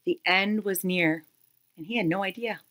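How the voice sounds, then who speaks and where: A woman in her thirties reading a children's picture book aloud expressively, with dramatic pauses and emphasis. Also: mid-range voice, a bit bright. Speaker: read-aloud storyteller.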